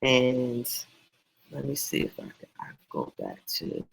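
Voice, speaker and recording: A man teaching writing in a casual, meandering, encouraging way, his voice going hoarse. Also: medium pitch, wildly swooping pitch, unhurried, low-quality recording. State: losing voice, hoarse. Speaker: self-published author teaching writing.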